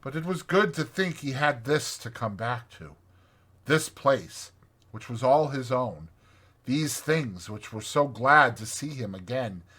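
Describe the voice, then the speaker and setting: A man gives a dramatized audiobook narration, expressive and measured. His voice is mid-pitched and forceful, with very animated intonation and a touch of rasp.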